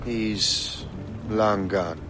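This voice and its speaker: A man's voice: lowish voice, fairly even intonation, extremely slow, over music. A man playing a tough, guarded young sea captain in a video game, speaking tersely and resolutely. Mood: subdued. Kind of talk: video game dramatic acting. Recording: noisy game action sound behind.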